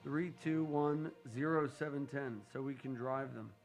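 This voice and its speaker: A man's voice: mid-range voice, steady tone, a little slow, music in the background. A man hosting a financial radio show, chatting loosely and joking with his co-hosts between promos and calls. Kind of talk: casual on-air banter and show promos.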